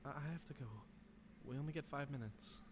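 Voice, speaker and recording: Woman as a low-voiced, nervous, stammering youngster. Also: brisk, slight background noise, natural voice. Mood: embarrassed, pleading. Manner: hesitant, stammering, polite. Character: nervous, embarrassed young competitor with doting mother. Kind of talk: audio drama acting.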